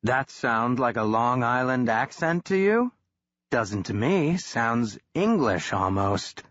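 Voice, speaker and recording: A man voicing a large, cool-headed male monster hunter who talks in a dry lecturing monotone. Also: mid-range voice, slow pace, no room sound, dull audio. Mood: taunting.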